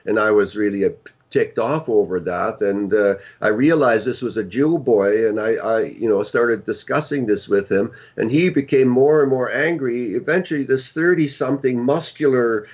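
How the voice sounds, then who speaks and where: A man's voice: mid-range voice, normal speed, a little mellow, breathy edge, muffled recording. A middle-aged man with a powerful voice, speaking as a guest author in a casual panel discussion.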